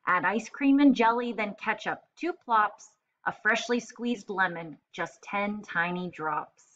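Bright-voiced, demanding little girl, read by a woman. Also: mid-range voice, unhurried, muffled recording, lightly acted. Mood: demanding, precise. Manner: eager, precise, bossy but polite. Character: tiny, demanding, chirpy little girl ordering food. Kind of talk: children's storybook read-aloud.

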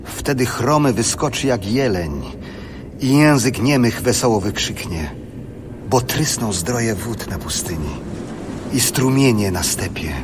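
A man's voice: mid-range voice, lively intonation, a little slow, noisy background. A man giving a solemn, dramatic narration of scripture for an audio production.

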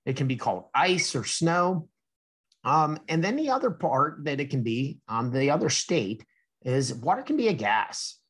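American man reading aloud, slightly husky. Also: mid-range voice. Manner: teacherly, poses questions to young listeners. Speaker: company presenter reading a children's book aloud. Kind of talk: read-aloud with explanations for children.

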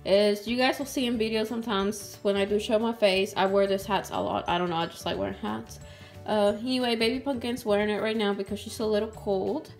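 Young woman, casual doll-cooking chat, sweet and talkative, music behind her, medium pitch. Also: fairly even intonation, a little fast. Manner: chatty, sweet, talks to viewers and doll. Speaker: doll channel host. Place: toy kitchen indoors. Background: background music.

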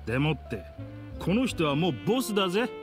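Easygoing anime game henchman, frank rough wording, swingy highish voice, music behind. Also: big pitch swings, a little fast. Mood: amiable. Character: loyal, easygoing suited male gang henchman. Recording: clear background music behind voice.

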